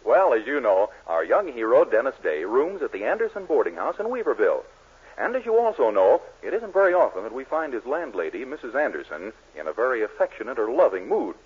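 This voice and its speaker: A man's voice: medium pitch, big pitch swings, a little fast, breathy edge. An American man announcing in scripted 1940s radio style, on an old broadcast recording. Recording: tinny 1940s radio broadcast, music behind.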